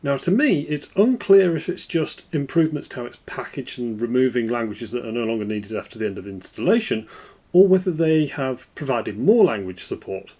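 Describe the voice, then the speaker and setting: Lively man explaining software. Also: mid-range voice, fairly quick. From United Kingdom. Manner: relaxed explanatory, lively intonation. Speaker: tech video host. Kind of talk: screen-recorded software walkthrough.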